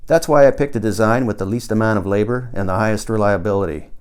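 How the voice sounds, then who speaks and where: Man reading as a brash, low-voiced rocket builder. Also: fast talker, a little mellow, clear, resonant tone, lightly acted. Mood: matter-of-fact. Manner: boastful, plain-spoken, colloquial. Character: brash, obsessive male amateur rocket builder, proudly defiant.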